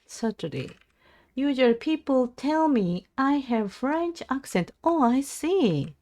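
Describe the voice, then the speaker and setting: A Japanese woman with a Japanese accent, practising language aloud on a casual livestream in a halting, hesitant way. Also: high voice, very animated, a little mellow, no room sound. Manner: hesitant, halting English with self-corrections. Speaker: live streamer learning English. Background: computer keyboard typing and clicking.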